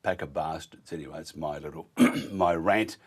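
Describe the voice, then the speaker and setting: A hoarse Australian man hosting a live talk radio show, blunt and ranting, mocking others in put-on voices. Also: a bass voice, moderate pace. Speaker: radio host.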